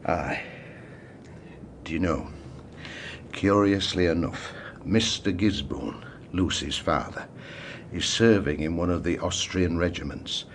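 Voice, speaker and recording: An actor playing a sober, confiding man in a period audio drama, speaking in a deliberate, measured way. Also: sits low, slight background noise.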